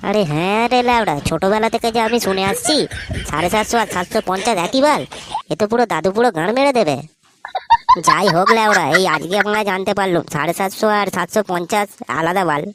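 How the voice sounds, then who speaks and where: A woman's voice: mid-range voice, fast, close to an everyday voice. A woman voicing a pushy, foul-mouthed young lad who wheedles as a sidekick in a comedy cartoon. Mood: exasperated. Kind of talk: Bengali comedy cartoon voice acting. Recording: noisy audio with clicks.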